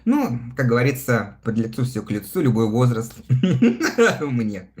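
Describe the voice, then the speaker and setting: A man's voice: medium pitch, very animated, unhurried, a little mellow. A man in his thirties chatting casually on a livestream, reading viewer comments aloud and answering them between laughs. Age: 30s. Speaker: live streamer reading viewer chat.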